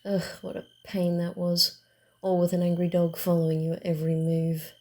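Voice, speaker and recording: A woman voicing a proud, playful vampire wanderer in an ASMR roleplay, halting and teasing, easily flustered. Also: fairly low pitch, little pitch movement, a little mellow, close to an everyday voice. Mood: weary, wry. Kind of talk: ASMR roleplay, acted one-sided dialogue.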